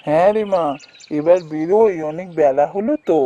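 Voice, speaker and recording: An actor playing a poor, kindly woodcutter father in a cartoon, speaking in a gentle, plaintive, musing way. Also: mid-range voice, normal speed, muffled recording, heavy background noise, close to an everyday voice. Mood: gentle, obliging. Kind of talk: cartoon voice acting.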